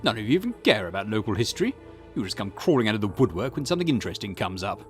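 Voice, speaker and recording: A man's voice: on the low side, very animated, racing, soft background music. A man voicing a conceited, irritable historian and occult researcher in a visual novel, pompous, snappish and condescending. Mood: indignant, snappish. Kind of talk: acted visual novel dialogue.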